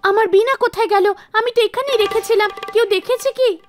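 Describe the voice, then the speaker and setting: Cartoon heroine: devout young girl played by a woman, extremely high voice, earnest, music behind. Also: brisk. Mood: worried.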